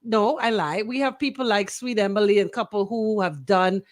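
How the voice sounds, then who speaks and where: A woman's voice: mid-range voice, normal speed, no room sound. Female radio talk host, Bahamian accent. Emphatic, opinionated delivery in a dry studio. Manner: emphatic, repeats phrases for emphasis.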